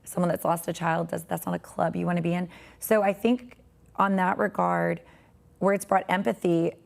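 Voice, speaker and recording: Raspy, gravelly-voiced woman in her forties. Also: fairly low pitch, fairly even intonation, moderate pace. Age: 40s. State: raspy voice from tiredness. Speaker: podcast guest. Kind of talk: faith podcast conversation.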